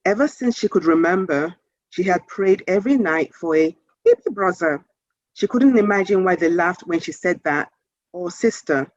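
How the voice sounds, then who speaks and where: A woman author reading a children's story aloud in a measured, expressive storytelling manner. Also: lowish voice, no room sound, highs cut off.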